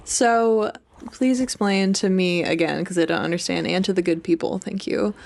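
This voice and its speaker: A woman's voice: medium pitch, moderate pace, gravelly, a little mellow. A young woman co-hosting a podcast, talking casually and informally about books, with "like" sprinkled through her speech. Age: young adult. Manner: informal, heavy use of "like". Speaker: podcast co-host. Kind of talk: casual book discussion chat.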